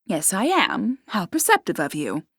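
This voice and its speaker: A woman voicing a proud young pirate captain who speaks in a crisp, formal, indignant way. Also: mid-range voice, wildly swooping pitch, moderate pace, no room sound, clean studio sound, full character voice. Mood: haughty.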